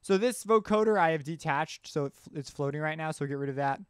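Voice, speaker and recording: Clear, higher-voiced man thinking aloud. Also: fast, dry recording, clean studio sound. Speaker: tutorial host. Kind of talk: casual software tutorial narration. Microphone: close mic screencast voice-over.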